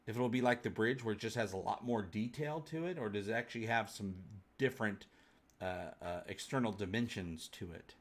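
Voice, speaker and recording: A man's voice: medium pitch, a bit bright. Male livestream host, jokey and colloquial, loose off-the-cuff rambling, mid-range voice. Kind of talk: casual chat, answering live viewer comments.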